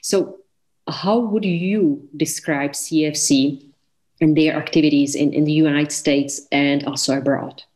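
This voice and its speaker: A woman moderating a formal interview, putting prepared questions in a polite, measured way. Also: low-pitched, fairly even intonation, a little mellow, low-quality recording.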